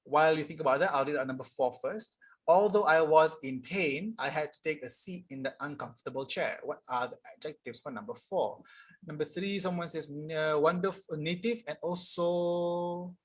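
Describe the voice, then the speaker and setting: Husky young male English teacher. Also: fairly high pitch. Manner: casual, explanatory, reads sentences aloud slowly. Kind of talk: live online tutorial reading chat answers.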